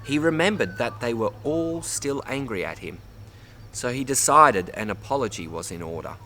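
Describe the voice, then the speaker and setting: A man's voice: mid-range voice, a little hiss or hum. Australian man reading a children's story expressively. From Bundaberg, Queensland, Australia. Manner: expressive, addresses young listeners with pauses for repeating. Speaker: storybook reader. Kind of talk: read-aloud children's story, narration with word teaching.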